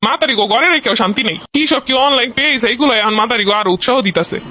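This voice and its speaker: A man's voice: very high voice, expressive, rapid-fire, sharp tone, full character voice. A man with a Bangladeshi accent voicing a stingy, exasperated henpecked husband who grumbles defensively in a comedy cartoon. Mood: grumbling.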